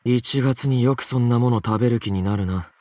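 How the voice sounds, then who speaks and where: A man playing a curt, unimpressed protagonist in a Japanese visual novel, speaking in terse deadpan lines. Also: mid-range voice, rapid-fire, dry recording, studio quality, lightly acted. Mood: flat, unimpressed. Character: curt, unimpressed, low-voiced male protagonist.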